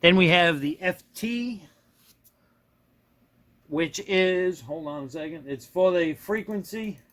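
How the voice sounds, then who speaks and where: A man's voice: high voice, slow, fairly bright tone, dry recording. Folksy man giving a casual, dry-sounding demo. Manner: folksy, self-deprecating, rambling with mid-thought corrections. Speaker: product reviewer demonstrating a radio. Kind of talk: casual hands-on demonstration, unscripted.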